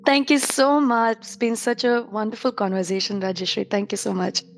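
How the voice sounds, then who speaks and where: A woman's voice: fairly high pitch, steady tone, moderate pace, a little hiss or hum. A woman interviewer musing in a conversational way, often saying "you know".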